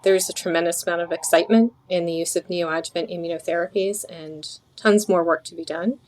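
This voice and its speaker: A woman speaking as a physician researcher in an interview, explaining things in an articulate, deliberate way. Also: fairly low pitch, steady tone, darkish tone, rough recording. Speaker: physician researcher, podcast guest.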